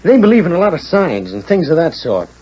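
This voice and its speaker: A man's voice: fairly high pitch, very animated, a little fast, a little mellow, husky. An actor playing a young, wry, talkative frontier ranch man with folksy speech in 1940s radio drama. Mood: wry, chatty. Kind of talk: 1940s Western radio acting. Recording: muffled 1940s radio recording.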